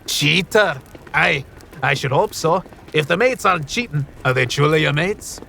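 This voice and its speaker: A sly, teasing rogue played by a man in a fantasy audio drama. His voice is bright and creaky, with cheeky slang and a schemer's temper. A little noise sits behind him. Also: on the high side, lively intonation, moderate pace, exaggerated voice. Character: cheeky, scheming card cheat, roguish young companion.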